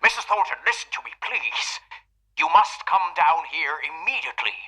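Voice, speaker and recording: A man playing a forceful, commanding stage mind reader. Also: a little high, expressive, normal speed. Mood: urgent. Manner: intense, directive. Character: commanding nightclub mind reader, urgent and serious. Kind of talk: radio thriller acting.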